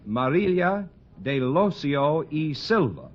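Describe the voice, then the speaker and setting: A man's voice: fairly high pitch, sing-song, takes it slowly. An American man working as the announcer on a vintage radio quiz show. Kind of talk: 1940s-50s radio announcing. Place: radio studio before a live audience. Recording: dull old radio recording with audience. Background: studio audience.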